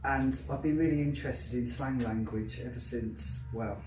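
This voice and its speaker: A man's voice: medium pitch, normal speed, darkish tone. A man with a South London Cockney accent giving a live poetry reading, with a chatty, filler-heavy introduction and a rhythmic, emphatic recitation. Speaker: poet. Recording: roomy, distant, noisy live venue.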